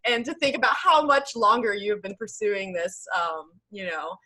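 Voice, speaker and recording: A woman's voice: medium pitch, animated, normal speed, pushed and bright, poor audio. A woman hosting a podcast interview in a casual, chatty way, with effusive enthusiasm. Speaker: podcast host interviewing a guest.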